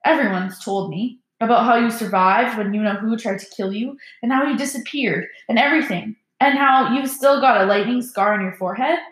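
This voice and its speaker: A woman's voice: high voice, animated, fairly quick, fairly bright tone, pitched above the performer's own voice. An eager, starstruck little schoolboy played by a woman gushes breathlessly and hesitantly, like a storybook fan meeting his idol. The voice sits in a roomy, echoing space. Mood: eager, excited.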